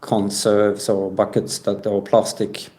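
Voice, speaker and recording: A man giving a personal account as an interview guest, speaking with halting, hesitant phrasing. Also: on the low side, little pitch movement, warm tone. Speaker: podcast guest.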